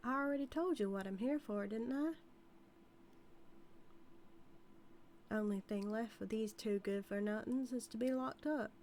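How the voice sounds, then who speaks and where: A woman's voice: on the high side, brisk, darkish tone, slight background noise, close to an everyday voice. A woman playing a flirty, dominant outlaw cowgirl with a Southern US accent in a scripted audio roleplay, drawling and teasing. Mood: cool, cocky. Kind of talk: scripted audio roleplay, intimate character acting.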